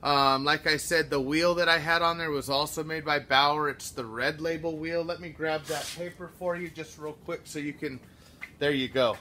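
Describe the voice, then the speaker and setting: A man in his thirties casually reviewing a power tool in his shop, relaxed and full of fillers. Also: on the high side, moderate pace, slight background noise. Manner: relaxed, filler-heavy, practical shop talk. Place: under a lowered truck, then inside an auto shop.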